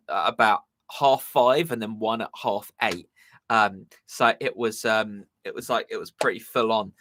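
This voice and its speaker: A man's voice: fairly low pitch, sharp tone, slight background noise. A young man with a southern English accent chatting casually as a musician hosting his live stream, rambling with lots of ums and uhs, his voice a bit strained. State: slightly strained voice. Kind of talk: casual chat.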